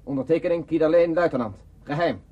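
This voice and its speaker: An actor playing a tense, dutiful border-guard lieutenant in a radio play, clipped and military, with a husky voice. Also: male voice, fairly high pitch, fast, dark tone, lo-fi sound. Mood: official, measured.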